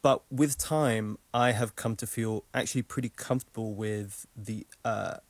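A man's voice: lowish voice, fairly even intonation, moderate pace, no echo. A man speaking as a guest in a casual radio discussion. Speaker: studio guest, football fan. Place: radio studio.

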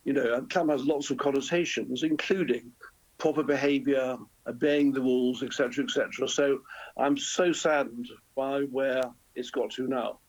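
A man's voice: mid-range voice, steady tone, moderate pace, husky, lo-fi sound. A man in his seventies, a guest in a live radio interview, speaking hesitantly with repeated words. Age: 70s. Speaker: interview guest, former football club chairman.

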